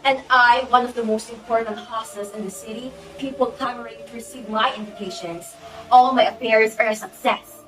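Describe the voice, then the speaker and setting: A woman's voice: fairly high pitch, fairly even intonation, moderate pace, a bit bright, a little hiss or hum, lightly acted. A young woman playing an imperious, easily outraged society hostess and domineering mother in an amateur stage play, with a haughty, melodramatic delivery. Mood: haughty, furious. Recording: distant mic under music.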